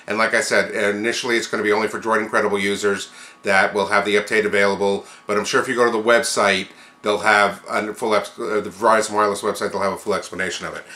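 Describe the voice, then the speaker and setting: A man's voice: fairly low pitch, little pitch movement, fast talker, pushed and bright, roomy sound. A man hosting a tech news podcast, running through items in an informal, chatty, conversational way with casual commentary.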